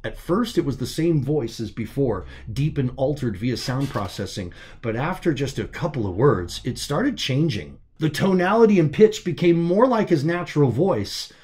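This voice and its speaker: A male author narrates a science fiction audiobook. His delivery is scripted and dramatic, in a voice of medium pitch. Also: lively intonation, moderate pace, hardly any pauses, a little mellow, breathy edge.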